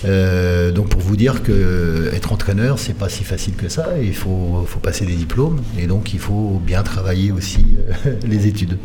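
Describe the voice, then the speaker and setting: A man's voice: sits low, moderate pace, background noise. A middle-aged man from the Paris region, answering radio interview questions conversationally and often searching for words. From Paris region, France. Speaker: handball coach being interviewed. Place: radio studio.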